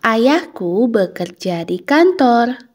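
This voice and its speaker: A young woman teaching children English, saying each phrase slowly and clearly, repeating it, then translating it. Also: on the high side. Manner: clear, deliberate, lively intonation.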